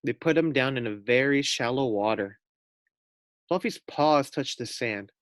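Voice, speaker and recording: A male teacher narrates a storybook for young children. He phrases each sentence deliberately and slowly, at a middling pitch, in a dry recording with no room sound. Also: no room sound. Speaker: teacher reading aloud to first graders.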